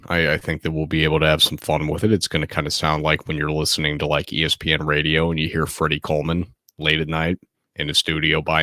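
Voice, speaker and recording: A man in his thirties hosting a casual late-night solo podcast from home, speaking softly and close to the microphone in a laid-back, candid way. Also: a bass voice, no echo. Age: 30s. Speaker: podcast host.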